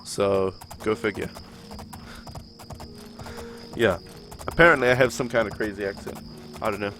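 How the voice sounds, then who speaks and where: A man's voice: on the low side, moderate pace, a bit bright, soft background music. A young man from New Zealand with a New Zealand accent, chatting with his viewers while he plays a game. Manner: chatty, reads chat aloud and answers it. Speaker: streamer. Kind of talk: live game stream commentary.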